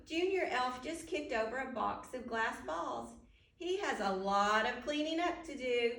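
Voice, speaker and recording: Woman, slightly high voice, storybook reader, talks straight to children, distant mic, roomy sound. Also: a bit bright. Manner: addresses young listeners directly with questions. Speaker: storyteller reading a children's book aloud. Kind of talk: children's storytime read-aloud. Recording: roomy echo, distant microphone.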